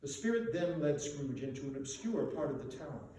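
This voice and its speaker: A man giving a theatrical, deliberately phrased dramatic reading of a literary story. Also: on the high side, lively intonation, dark tone. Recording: very echoey room, distant mic, faint noise.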